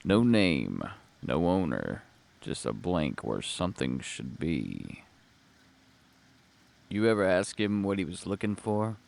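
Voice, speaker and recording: World-weary male detective, acted by a man, low and gravelly, slow deadpan noir narration for audio drama. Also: exaggerated voice. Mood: terse, guarded. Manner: deadpan, terse.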